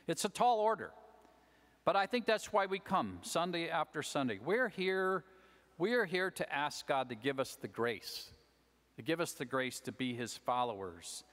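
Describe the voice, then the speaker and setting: A man's voice: fairly high pitch, a bit bright. An American man in his sixties giving a homily in a conversational way, often checking in with "right?". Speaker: deacon preaching. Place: large church, at the ambo. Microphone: gooseneck lectern microphone. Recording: long church reverb over PA.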